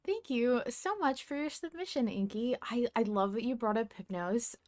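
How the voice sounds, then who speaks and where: A woman's voice: on the high side, lively intonation, moderate pace, a little mellow. A woman hosting a podcast in casual fan-chat style, gushing with enthusiasm and swearing casually. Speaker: podcast host.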